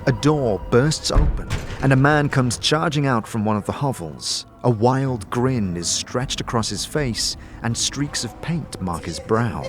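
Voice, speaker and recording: A man's voice: medium pitch, expressive, measured pace, hardly any pauses, music in the background. A man narrating a fantasy audio drama in the second person, in a descriptive, dramatic storytelling manner.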